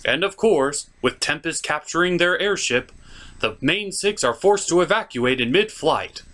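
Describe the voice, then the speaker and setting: Wry man narrating with lively pitch swings. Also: unhurried, a little hiss or hum. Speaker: host of a comedic puppet-show movie review. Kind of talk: comedic scripted narration of a film recap.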